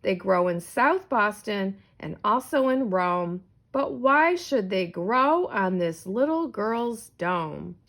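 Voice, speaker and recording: A pompous, scholarly man who runs a school in a children's storybook, acted out by a woman. He pronounces things with self-important care. The pace is slow and the intonation rises and falls freely. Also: mid-range voice, animated, fairly bright tone. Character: pompous, learned male school principal.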